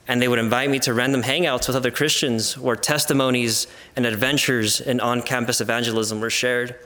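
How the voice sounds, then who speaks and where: A man in his twenties with an American accent and Spanish as his first language speaks at a medium pitch. He reads a prepared personal testimony with formal, measured phrasing. His delivery is earnest throughout. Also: sharp tone. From California and Arizona, United States. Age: 20s. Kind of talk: scripted personal testimony, read aloud.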